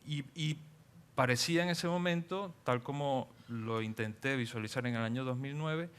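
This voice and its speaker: A man speaks as a panel guest. His voice is smooth and resonant and sits at a middle pitch. He explains at a measured pace and leans on fillers as he goes. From Venezuela. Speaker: panel guest, engineer and entrepreneur. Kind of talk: panel talk.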